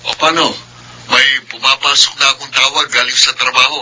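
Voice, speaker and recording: A man playing a busy elder brother, an engineer, in a Filipino radio drama, brisk and businesslike. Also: medium pitch, level tone, pushed and bright, husky. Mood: hurried. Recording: noisy radio broadcast with background ambience.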